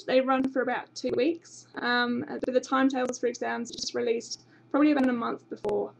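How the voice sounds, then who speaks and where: Young English woman with gravelly high voice. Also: poor audio. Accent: English, slightly Australian-tinged. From England. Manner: chatty and informative, frequent ums. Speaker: university international representative presenting a webinar. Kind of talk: informal webinar presentation answering questions. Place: small office with banner behind. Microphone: webcam at desk.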